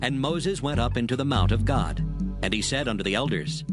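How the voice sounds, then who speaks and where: A man reads scripture as narrator in a steady, measured, mid-pitched voice. Loud music runs beneath the reading throughout.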